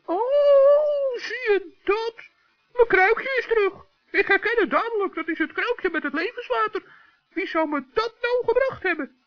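A little forest gnome talks in an extremely high, piping voice. The delivery is childlike, crafty and theatrical. It sounds dull and lo-fi, like a 1960s children's radio play. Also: expressive, unhurried, soft background music. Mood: feigned innocence. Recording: dull lo-fi old radio recording.

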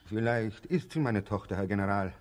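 A man in a German radio drama playing a deferential, haunted middle-aged barber and executioner who speaks haltingly and repeats himself. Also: fairly low pitch, natural voice. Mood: bitter. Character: servile, haunted barber and executioner, rambling simple soldier type.

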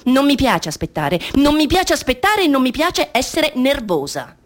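A woman's voice: sits high, sing-song, moderate pace. A woman playing a bored, capricious schoolgirl in a radio drama monologue, musing in a girlish, petulant manner. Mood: bored, impatient.

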